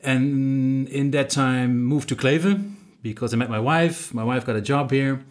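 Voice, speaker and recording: A middle-aged man from the Netherlands talks in English with a Dutch accent, easygoing and reflective. He circles back on his words and fills the gaps with small asides. His voice sits at a middle pitch for a man. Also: unhurried. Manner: relaxed, thoughtful, with frequent fillers and restarts. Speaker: interview guest. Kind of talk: conversational interview.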